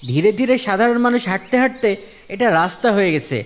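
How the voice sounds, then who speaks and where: A man's voice: high voice, expressive, fast. A man playing an earnest, reasonable villager in a moral cartoon, speaking politely and persuasively. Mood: earnest, pleading. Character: earnest, reasonable male villager pleading for neighbours. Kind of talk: Islamic moral cartoon dialogue.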